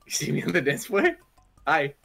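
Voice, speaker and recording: A man's voice: a little high, very animated, normal speed, gravelly, a bit bright. Teasing young man with music behind. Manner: teasing, crude jokes, laughs often. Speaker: streamer. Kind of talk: casual gaming stream banter.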